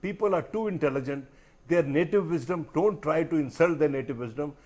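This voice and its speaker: A man's voice: fairly high pitch, fairly quick, darkish tone. A man with an Indian accent, a journalist speaking emphatically and rhetorically as a guest in a panel discussion, repeating phrases for weight.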